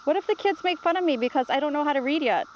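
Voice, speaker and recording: A woman's voice: sits high, lively intonation, rapid-fire, a little hiss or hum, low-quality recording. A woman voicing an anxious, questioning young child in a children's storybook read-aloud. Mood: worried, curious. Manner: questioning, worried. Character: anxious, inquisitive young grandchild starting kindergarten.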